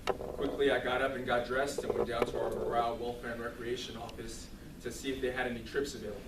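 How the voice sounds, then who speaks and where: A man giving a humorous storytelling speech to an audience in a theatrical, deliberate comic manner. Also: mid-range voice, little pitch movement. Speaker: speech contest contestant. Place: room with an audience. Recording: roomy, distant mic, clearly noisy.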